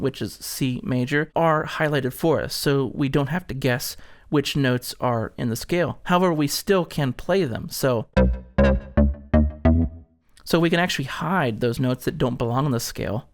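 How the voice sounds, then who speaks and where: A man's voice: mid-range voice, normal speed, faint music behind. A man presenting an instructional product demonstration, explaining things step by step.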